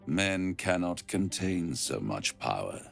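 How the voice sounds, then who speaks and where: A suave old devil villain in a game, slow n silky, huge swooping delivery, scheming dealmaker. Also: male voice, low-pitched, big pitch swings, vocal fry, soft background music, full character voice. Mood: gleefully grim. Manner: silky, grandiose, savours words with dramatic pauses. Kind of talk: theatrical video game villain monologue.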